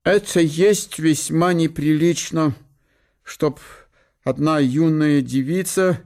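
A man playing a stern, pedantic middle-aged father in a radio drama, with a German accent and halting, broken grammar. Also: on the high side, a little mellow. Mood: stern, disapproving.

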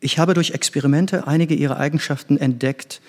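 An actor playing an earnest middle-aged scientist and former pupil in a stage drama, intense and theatrical. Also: male voice, a little high, fairly even intonation, a little fast, a little mellow. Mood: fervent, admiring.

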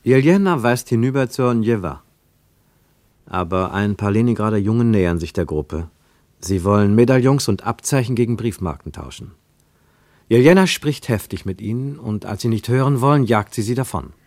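An actor playing the wry, observant narrator of a literary radio drama, with measured, pointed phrasing. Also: male voice, on the low side, lively intonation, darkish tone, no echo, clean studio sound. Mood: ironic, emphatic. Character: wry, observant male narrator of a travel story.

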